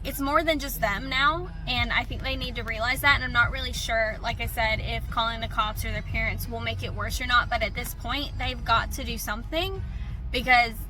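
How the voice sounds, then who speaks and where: A woman's voice: a little high, normal speed, music in the background. A woman giving casual, rambling commentary on a novel she is reading, candid and full of restarts. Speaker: book vlogger.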